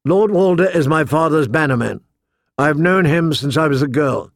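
A man's voice: fairly high pitch, moderate pace, gravelly, no room sound. A man reads a resolute noble mother in a fantasy audiobook. The delivery is composed and assured, with a dry, close sound. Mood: smoothly reassuring.

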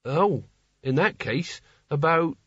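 A man's voice: a little high, lively intonation, takes it slowly, a bit bright, breathy edge, no echo, dull audio, pitched above the performer's own voice, exaggerated voice. A man voicing a naive, earnest, indignant teenage boy in a storybook, hesitant and protesting. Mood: nervous, hesitant.

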